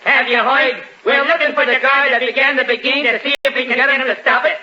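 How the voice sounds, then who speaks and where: Piercing, chirpy songwriter. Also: male voice, extremely high pitch, steady tone, fast talker, sharp tone, a little hiss or hum, heavily acted. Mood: chirpy, brash. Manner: sing-song, brash patter. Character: chirpy, eager novelty songwriter in a singing duo. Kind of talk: 1940s radio comedy acting. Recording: echoey, dull vintage radio broadcast.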